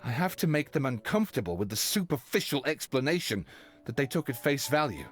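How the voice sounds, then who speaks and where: An earnest detective from a game, driven to find the truth. His delivery is theatrical and very lively, rising and falling over background music. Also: medium pitch, brisk. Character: remorseful idealistic detective culprit, earnest truth-seeker. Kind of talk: scripted game voice acting. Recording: music under the voice.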